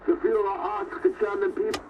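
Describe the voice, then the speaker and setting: An actor playing a wartime newsreader in a 1940s broadcast style, heard through an old radio filter. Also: male voice, high-pitched, lively intonation, fairly quick. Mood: declamatory. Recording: muffled vintage radio sound.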